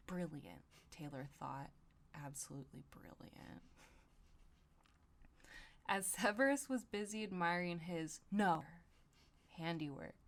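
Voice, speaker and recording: Young woman podcast host, kinda low voice, reads silly stories out loud n chats casually. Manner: slangy, profane, giggly asides. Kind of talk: comedic read-aloud of fan fiction with casual banter.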